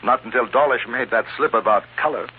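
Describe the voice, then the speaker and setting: An actor playing a composed, wry English reporter in a radio western, speaking in a dry, understated way. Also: male voice, medium pitch, fairly quick, lightly acted. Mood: wry, explaining. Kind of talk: 1950s radio western acting. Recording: muffled, noisy old radio recording.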